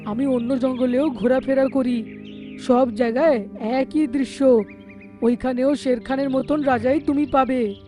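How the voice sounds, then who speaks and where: A woman's voice: high voice, steady tone, measured pace. A woman voicing a resigned male monkey in a children's cartoon fable. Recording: background music under the voice.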